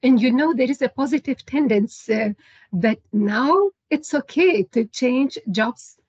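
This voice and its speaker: Brief: breathy, higher woman, career advisor, upbeat and encouraging, lo-fi video call. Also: a little mellow, no room sound. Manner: encouraging, gives advice with light laughs. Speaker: seminar presenter, career advisor. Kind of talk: online seminar Q&A.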